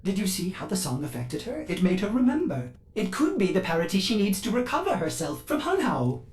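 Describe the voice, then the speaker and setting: A man's voice: sits high, wildly swooping pitch, a little fast. An actor voicing an anxious, formal male ship AI in a video game, with synthetic processing. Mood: pleading. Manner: formal, addresses listener as operator. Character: earnest, anxious male ship AI with violent outbursts. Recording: game music behind the voice.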